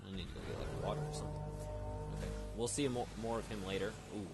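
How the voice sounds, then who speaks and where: A man's voice: on the low side, steady tone, fast talker, loud music behind the voice. A man giving casual, off-the-cuff game commentary in a rambling, unsure way, often correcting himself. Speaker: let's play gamer. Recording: noisy home game recording.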